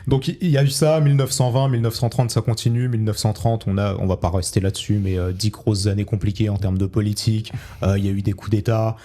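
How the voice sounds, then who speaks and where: Podcast host, young man, rapid loose explainer, lowish mellow voice. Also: level tone. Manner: explains loosely, fillers like euh and du coup.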